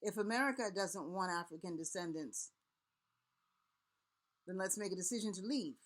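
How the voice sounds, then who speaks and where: A woman's voice: mid-range voice, normal speed. A middle-aged woman from Haiti, a Vodou priest and elder, giving an earnest, rallying spoken address with repeated words and long lists. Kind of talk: impassioned spoken address to camera.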